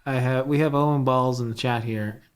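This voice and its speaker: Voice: medium pitch, steady tone, normal speed, a little hiss or hum. A livestream participant casually reading out chat messages in an amused way.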